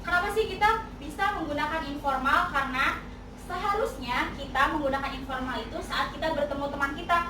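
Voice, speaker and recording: Young woman teacher, chatty classroom delivery, high voice, distant mic. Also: fast talker. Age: young adult. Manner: chatty, mixes in English example phrases. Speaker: English teacher. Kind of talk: classroom explanation. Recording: echoey room, distant mic, noisy.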